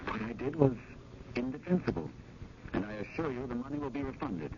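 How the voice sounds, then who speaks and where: An actor playing an earnest, conscience-stricken museum curator in a 1970s radio drama, speaking formally and with emphasis. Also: male voice, medium pitch, big pitch swings, fairly quick, natural voice. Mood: contrite. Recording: muffled old radio broadcast, clear hiss.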